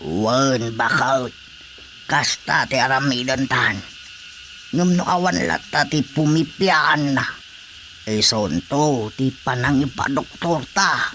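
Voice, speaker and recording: An actor playing a stubborn village uncle who prefers the folk healer, in a radio drama. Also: male voice, mid-range voice, slow pace, bright, forceful tone. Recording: radio drama with faint noise.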